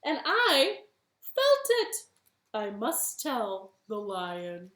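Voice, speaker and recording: Big loud rhino, lady reading it, slow and emphatic, echoey room. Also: on the high side, wildly swooping pitch, full character voice. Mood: proclaiming. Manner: loud, climbing emphatic delivery. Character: big booming rhino announcing rain.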